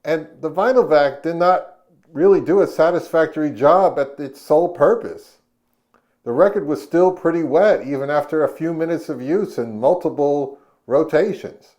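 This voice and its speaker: A man narrating a casual product review in a measured, wry and mildly critical manner. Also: a little high, a little mellow.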